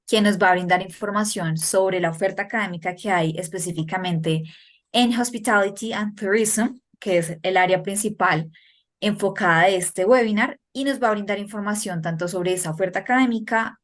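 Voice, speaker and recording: A woman, hosting and introducing an informational webinar over a video call in a brisk, informative way. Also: medium pitch. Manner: brisk, informative announcer delivery. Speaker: webinar host.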